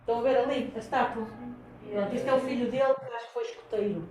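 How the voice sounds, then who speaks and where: A woman teacher with a Portuguese accent relays things to her class briskly, her tone mellow and low in brightness. The room is echoey and carries a faint murmur behind her. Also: steady tone, a little hiss or hum. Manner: brisk, explaining to students. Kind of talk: interpreting for a class.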